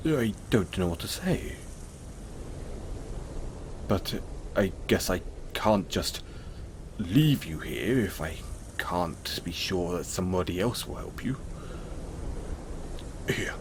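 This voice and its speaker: A man playing a kind, apologetic centaur in an audio roleplay, speaking gently and hesitantly to the listener in a reassuring manner. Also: fairly low pitch, slight background noise. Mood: shocked concern, then kindly reassuring.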